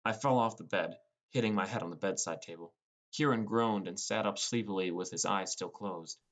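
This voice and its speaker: A man's voice: lowish voice, normal speed, fairly bright tone. A man reading scripted first-person fantasy fiction as an audiobook narrator.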